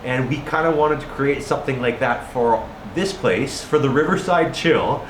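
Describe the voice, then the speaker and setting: A man's voice: mid-range voice, moderate pace, echoey room, slight background noise, rough recording. A young man from Canada chatting casually and joking, laughing often and repeating himself as he explains a holiday project. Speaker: friend on a vlog. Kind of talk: casual chat.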